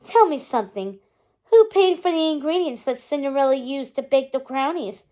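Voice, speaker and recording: A woman's voice: high voice, animated, normal speed, warm tone. A woman voicing a cruel, self-satisfied stepmother in a storybook, with a taunting manner. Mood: smug, menacing. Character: scheming cruel stepmother, middle-aged woman, mocking.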